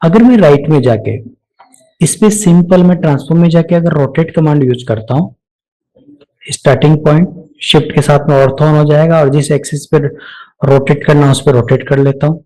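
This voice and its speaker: A man with an Indian accent narrating a step-by-step software tutorial, explaining each action aloud as an instructor. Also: a little high, normal speed, rough recording.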